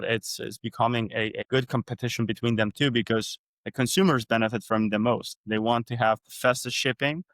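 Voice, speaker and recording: A man's voice: medium pitch, fairly bright tone, dry recording. A man from Europe hosting a podcast, asking curious questions in a chatty way. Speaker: podcast host. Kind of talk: casual interview talk.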